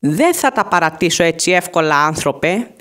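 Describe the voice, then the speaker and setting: A performer voicing an excitable, boastful male skeleton guard in a theatrical, bombastic cartoon fan dub style. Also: mid-range voice, noisy background, lightly acted. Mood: boastful, challenging. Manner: theatrical, bombastic, emphatic delivery.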